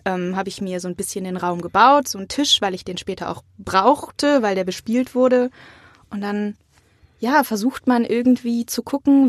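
A woman's voice: mid-range voice, no echo. A young adult woman giving casual, informal and anecdotal answers as an interview guest. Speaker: interview guest, acting student.

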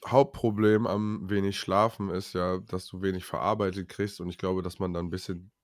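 Run-down man, low dry voice. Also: level tone, moderate pace, dry recording. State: a bit run-down. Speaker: podcast co-host. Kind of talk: casual gaming chat.

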